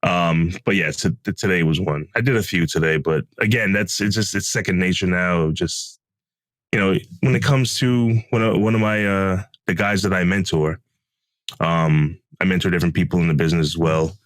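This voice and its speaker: Low-voiced man telling casual stories. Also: normal speed, no room sound. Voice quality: deep, calm voice. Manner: relaxed storytelling with many fillers. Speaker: podcast co-host. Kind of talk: casual podcast chat. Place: home closet room.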